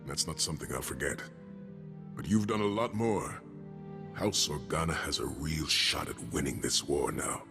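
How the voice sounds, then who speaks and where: Game officer: older man, low voice, wry and appreciative, music clearly behind. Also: normal speed. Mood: grateful. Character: seasoned older male military officer, grateful and wry. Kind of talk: video game cutscene dialogue.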